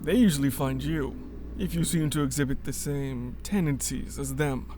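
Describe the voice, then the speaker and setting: A man's voice: medium pitch, steady tone, moderate pace, background noise, natural voice. A man acting a guarded, stubborn rebel in a sci-fi radio drama, earnest and formal in his speech. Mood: grave, subdued.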